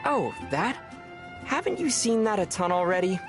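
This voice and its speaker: A man voicing a calm, dry-witted, gently teasing young traveler in a video game. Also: sits high, normal speed, loud music behind the voice. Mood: wry. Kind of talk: video game character acting.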